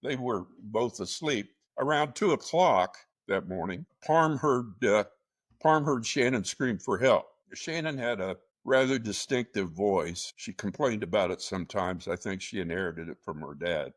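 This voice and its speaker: A low-voiced man in a true crime podcast, walking through a case step by step. He talks in a measured, halting way, often pausing and restarting his sentences. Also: lively intonation. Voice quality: low-pitched voice. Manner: measured, halting retelling with frequent fillers and restarts. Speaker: podcast host.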